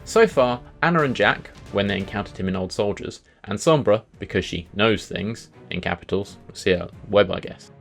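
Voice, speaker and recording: Low-pitched man hosting a video. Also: normal speed, vocal fry, hardly any pauses, soft background music. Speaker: video host. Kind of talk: scripted video commentary on game lore.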